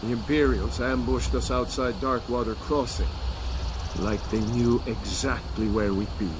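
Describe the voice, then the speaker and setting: Male actor: battle-tired rebel soldier, fantasy game, earnest and loyal, mid pitch, loud outdoor ambience with insects. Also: steady tone, a little slow, noisy background, lightly acted. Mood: weary. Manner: weary, earnest storytelling. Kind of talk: fantasy video game acting.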